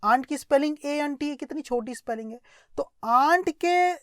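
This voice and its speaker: A woman's voice: sits high, animated, moderate pace, dry recording, clean studio sound. A woman with an Indian accent giving a translated story lesson, explaining phrase by phrase and often repeating herself to check understanding. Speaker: English teacher.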